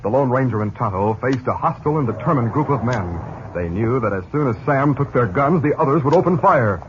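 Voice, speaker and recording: A man's voice: mid-range voice, lively intonation, fairly quick. A man giving dramatic scripted narration as the narrator of a 1950s western radio serial. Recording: dull old radio recording with music.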